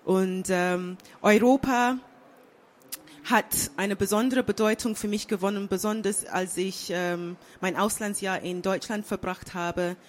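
A woman born and raised in London, speaking as a guest on a panel, thoughtful and pausing often with fillers. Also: mid-range voice, steady tone. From England. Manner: thoughtful, many fillers and restarts. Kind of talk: panel discussion.

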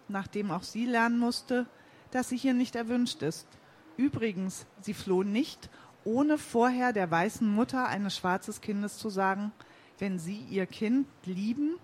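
A man reading his reportage text aloud at a book presentation, in a measured, deliberate way. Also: sits high.

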